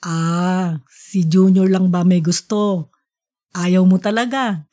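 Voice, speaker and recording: A woman's voice: on the low side, fairly even intonation, slow, warm tone, pitched below the performer's own voice. A woman voicing an easygoing, teasing father in a colloquial audiobook story. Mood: playful, fond. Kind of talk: audiobook character dialogue.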